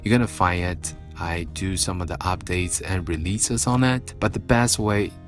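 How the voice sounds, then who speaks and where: A low-voiced man chatting on his evening radio show from a studio mic, rambling and joking at his own expense. Faint music plays quietly behind him. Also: normal speed. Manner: chatty, self-mocking, rambling. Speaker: radio host. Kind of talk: casual solo radio talk.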